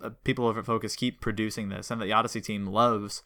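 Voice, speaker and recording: A man's voice: on the low side, little pitch movement, quick pace, creaky, bright, forceful tone. A man hosting a podcast in a casual, conversational interview style. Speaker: podcast host.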